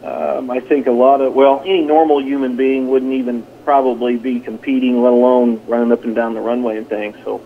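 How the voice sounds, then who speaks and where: A man's voice: medium pitch, flat delivery, a little fast, few pauses, slight background noise. Folksy man on a tinny phone line. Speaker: high school track and field coach. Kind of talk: casual phone interview. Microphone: telephone.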